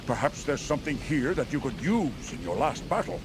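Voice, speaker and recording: A man's voice: mid-range voice, big pitch swings, normal speed, over music, close to an everyday voice. A man playing a nervous, self-interested merchant in a fantasy video game, dramatic and a bit hesitant. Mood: salesmanlike, nervous. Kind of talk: video game character acting.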